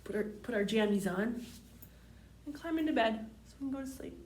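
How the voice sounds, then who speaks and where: Female children's storyteller: sing-song, lively pitch, unhurried, recorded in a roomy space. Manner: sing-song, addressing children with simple questions. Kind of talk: storybook read-aloud for young children. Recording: roomy, distant microphone.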